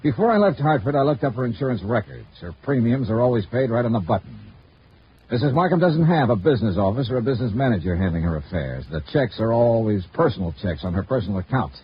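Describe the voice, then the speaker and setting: An actor playing a dry, probing insurance investigator in a 1950s radio detective drama, clipped and matter-of-fact. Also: male voice, medium pitch, very animated, fast talker, slightly rough. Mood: probing, businesslike. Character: dry, probing male insurance investigator narrating his case. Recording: dull, noisy old radio recording.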